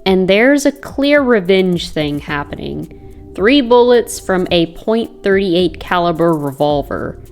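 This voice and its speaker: Woman acting a measured young crime-fighting heroine. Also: fairly low pitch, expressive. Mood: pensive, determined. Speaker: comic dub voice actor. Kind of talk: dramatic narration and dialogue. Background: background music.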